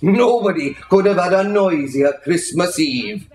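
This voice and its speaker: A man giving a theatrical, expressive literary reading, savouring the phrases as a storyteller. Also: sits high, unhurried. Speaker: reader, storyteller. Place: live reading in an indoor shop. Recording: echoey room with faint background noise.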